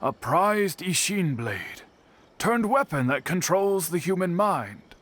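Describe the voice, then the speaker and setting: A man playing a thoughtful, fatherly smith in a video game, speaking in a deliberate, ponderous way. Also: high-pitched, big pitch swings, fairly bright tone, full character voice. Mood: pondering. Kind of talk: video game character acting. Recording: music behind the voice.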